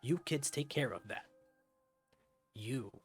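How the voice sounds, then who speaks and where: A man playing a gruff, impatient superhero with a brusque, sarcastic manner in a visual novel. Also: medium pitch, lively intonation, slow pace, soft background music. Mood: grudging. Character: gruff, sardonic, impatient male superhero, the Baron.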